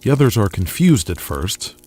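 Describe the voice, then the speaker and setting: A man's voice: lowish voice, big pitch swings, normal speed, a little mellow, breathy edge. A man delivering dramatic scripted narration as the narrator of an audio drama.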